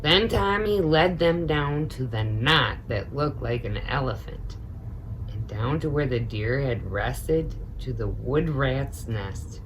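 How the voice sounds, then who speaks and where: A woman's voice: a bass voice, expressive, takes it slowly. A woman reading a children's picture book aloud, expressively, adding informal comments of her own as she goes. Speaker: storyteller, teaching assistant. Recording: noticeable background noise.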